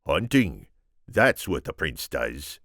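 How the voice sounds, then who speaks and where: A man voicing a tiny, henpecked old farmer with a halting, bewildered manner. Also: extremely low pitch, very animated, a bit bright, no room sound, clean studio sound, exaggerated voice. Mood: solemn.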